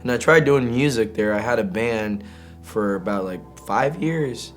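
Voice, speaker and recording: A man from Greece, an indie singer-songwriter, talking casually and candidly in an interview in an informal, halting, slangy way. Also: mid-range voice, faint music behind.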